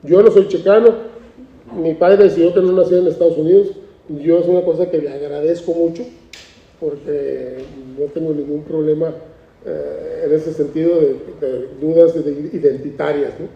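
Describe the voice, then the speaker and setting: Roomy, husky-free older man, storytelling. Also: a little high, moderate pace. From Mexico, Tijuana border. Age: 60s. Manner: relaxed storytelling with frequent restarts and repeated words. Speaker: artist, book author. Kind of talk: conversational book presentation. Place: cultural institute event room. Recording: roomy, dull-toned event recording.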